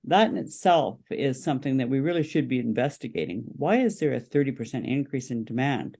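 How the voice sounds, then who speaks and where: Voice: medium pitch, lively intonation, fairly quick, creaky, dark tone, slightly rough. A podcast guest, speaking as a political campaign representative and explaining positions in an interview.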